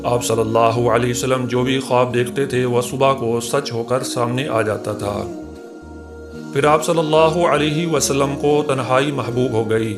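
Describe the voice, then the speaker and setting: A man reading a scripted Islamic story in a measured, formal, reverent storytelling manner. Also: medium pitch, fairly even intonation. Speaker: narrator of religious story channel. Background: music behind the voice.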